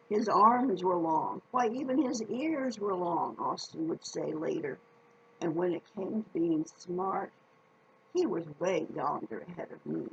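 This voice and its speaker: A woman reading a children's story aloud in a folksy, deliberate manner, speaking directly to her listeners. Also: fairly low pitch, creaky, lo-fi sound, slight background noise.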